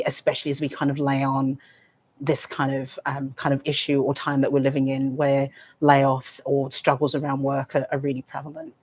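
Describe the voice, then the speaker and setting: A woman with a British accent interviewing an author on stage, measured and leaning on "kind of" as she frames her questions. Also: a bass voice, a little mellow, husky. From United Kingdom. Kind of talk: live onstage interview.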